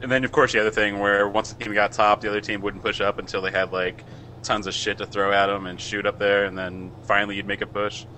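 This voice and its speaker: Man, blunt and grumbling, bright forceful tone, lowish pitch, faint noise. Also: flat delivery, fast, low-quality recording. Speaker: game industry worker in discussion. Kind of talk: casual group chat over voice call.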